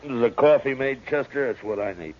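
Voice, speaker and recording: An actor playing a calm, dry-humored, laconic US marshal in a 1950s radio western. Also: male voice, mid-range voice, a little fast, slight background noise, natural voice. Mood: relaxed, wry. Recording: muffled vintage radio recording with music.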